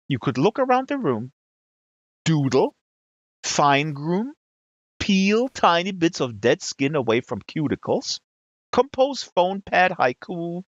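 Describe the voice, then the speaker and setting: A man with a fairly high voice reads satirical fiction aloud. His intonation swings widely, and he places his emphasis deliberately. The recording is dry and slightly dull. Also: very animated, no room sound. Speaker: reader of literary excerpts. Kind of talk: reading a satirical novel aloud.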